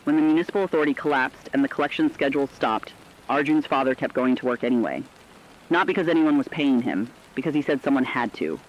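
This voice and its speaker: A man narrating scripted human-interest stories for a radio drama in a sober, deliberate way. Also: medium pitch, animated. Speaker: radio storyteller. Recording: dull lo-fi radio sound.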